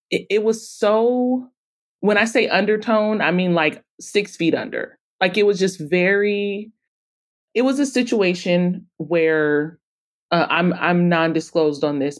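A woman speaking as a guest in a conversational podcast interview, explaining her points emphatically. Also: lowish voice. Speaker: guest author and anthology publisher.

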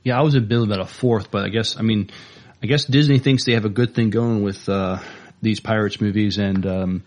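A man co-hosting a podcast, chatting casually about movie and DVD news in a hesitant, halting way. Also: fairly low pitch, fairly even intonation, darkish tone. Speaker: podcast co-host.

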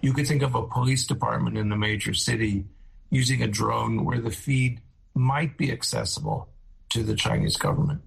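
A man's voice: medium pitch, steady tone, normal speed, vocal fry, husky, low-quality recording. A man explaining a security issue as an interviewed expert, thinking aloud as he goes.

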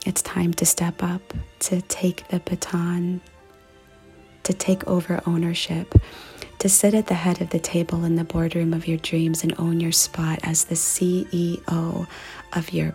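A woman leading a guided meditation and prayer in a soft, hushed, breathy and creaky voice, speaking deliberately with pauses. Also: fairly low pitch. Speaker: podcast host and meditation guide. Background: music.

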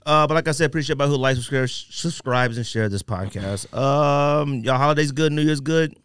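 A man's voice: mid-range voice, a little fast, a bit bright, smooth. A man with an American accent hosting a podcast, bantering casually with friends in slangy, joking talk. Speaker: podcast host.

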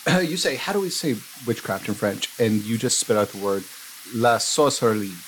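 A man's voice: mid-range voice, animated, moderate pace, a little hiss or hum, low-quality recording. A man running a tabletop game as game master, dry and matter-of-fact.

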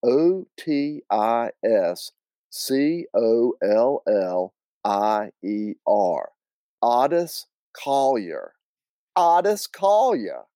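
A man's voice: mid-range voice, huge pitch swings, normal speed, mellow, dry recording, clean studio sound, voice pushed up. A man voicing an easygoing, flirty country fellow with a thick North Carolina accent, in a told story. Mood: slow, deliberate.

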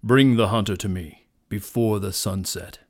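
A man voicing a mighty lion, king of the forest, with a deep, powerful, booming voice and solemn authority. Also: close to an everyday voice. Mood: commanding. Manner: solemn, slow, authoritative proclamations.

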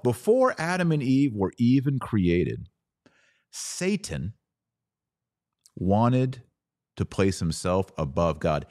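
A man hosting a podcast, giving a religious lecture in an emphatic, didactic manner with dramatic pauses. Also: on the low side, darkish tone, no room sound, clean studio sound. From Texas. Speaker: podcast host.

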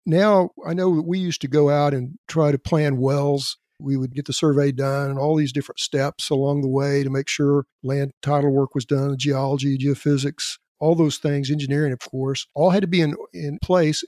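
A man's voice: on the high side, steady tone, moderate pace, hardly any pauses, darkish tone, dry recording, studio quality. A man in his sixties hosting a podcast, talking in a friendly, rambling way. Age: 60s. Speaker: podcast host. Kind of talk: casual interview talk.

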